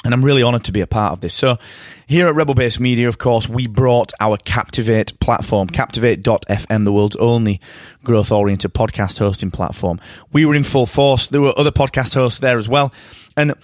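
A British man hosting his own podcast, talking alone from a hotel room in an upbeat, enthusiastic way. His voice is a little croaky from tiredness, and he often repeats words as he talks. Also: medium pitch, fairly quick, no room sound, clean studio sound. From Britain. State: slightly croaky voice. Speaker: podcast host. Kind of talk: solo podcast monologue.

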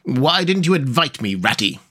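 A man acts out a gossipy storybook otter. He is breezy, blustery and chummy, in a medium-pitched voice that moves up and down freely. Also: big pitch swings, moderate pace, bright, forceful tone. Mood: teasing.

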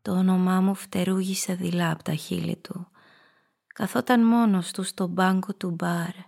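An actress playing a woman who narrates her own story in measured, atmospheric literary prose for a radio drama. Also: on the low side, steady tone, creaky, a little mellow, husky. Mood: wry, observant. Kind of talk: scripted first-person literary narration.

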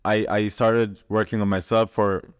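A young man from Syria, a guest on a chat podcast, tells his story with lively energy and laughs easily. His voice sits low and sounds smooth and clear, with a dry, close sound. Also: measured pace, no echo. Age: 20s. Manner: animated storyteller, laughs often. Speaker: podcast guest, mindset coach. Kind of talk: casual interview chat.

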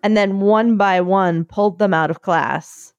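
A woman chatting casually, telling a story and acting out quotes in a higher voice. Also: unhurried, smooth, no echo, muffled recording. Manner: animated, acts out quotes in higher voice.

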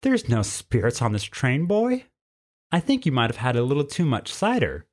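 A man's voice: medium pitch, wildly swooping pitch, normal speed, darkish tone, voice pushed up. A man voicing a stern, plainspoken female cougar with folksy country phrasing in a storybook. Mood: matter-of-fact. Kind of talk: storybook character voice.

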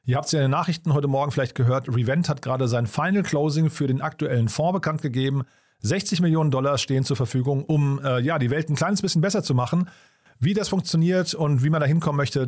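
A male podcast host with a slightly high voice and very quick delivery. He sounds informal and keen, chatting his way through introductions and questions. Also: a little mellow. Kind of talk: conversational podcast interview.

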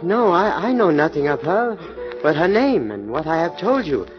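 Nervous waiter for an old radio drama, stammering and eager to please, muffled broadcast sound. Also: male voice, a little high, big pitch swings, normal speed, soft background music. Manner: stammering, hesitant. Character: nervous foreign male waiter, timid and eager. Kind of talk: 1940s radio acting.

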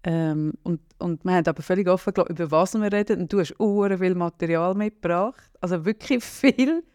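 A man's voice: high voice, fairly quick, a little mellow, clean tone, dry recording, clean studio sound. A man in his late forties with a Swiss German accent, hosting a podcast in a casual, teasing chat and laughing often. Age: 40s. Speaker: podcast host.

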